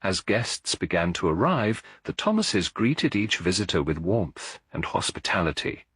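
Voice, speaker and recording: A man narrating a detective story as a scripted audiobook, in a measured, formal storytelling manner with a husky voice. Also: deep voice, big pitch swings, a bit bright, dry recording.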